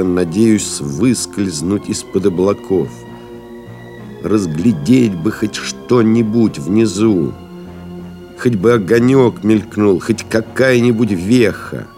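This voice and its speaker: An actor playing a weary, stoic pilot stranded in the desert, narrating gravely and deliberately in an audio play, with music behind him. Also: male voice, lowish voice, dark tone. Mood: tense, watchful. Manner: grave, deliberate, brooding, with pauses. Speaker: actor narrating in first person.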